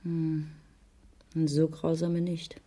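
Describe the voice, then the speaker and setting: An actress playing a quiet, doubtful wife who questions hesitantly in a radio crime drama. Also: female voice, low-pitched, monotone, slow pace.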